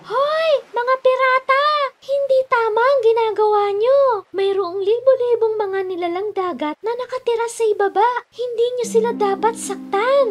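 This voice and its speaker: A woman's voice: extremely high pitch, normal speed. A woman playing a sweet, brave young mermaid princess in a children's cartoon, girlish and earnest. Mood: indignant. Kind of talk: children's fairy tale cartoon acting.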